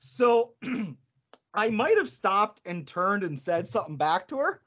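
A man co-hosting a podcast, telling an animated story in casual chat and laughing between lines. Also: high voice, slow pace. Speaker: podcast co-host. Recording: dull lo-fi podcast audio.

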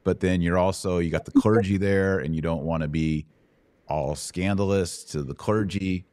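Middle-aged American male host, low-pitched and folksy, casual Bible teaching, very dry sound. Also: moderate pace, a little mellow, dry recording.

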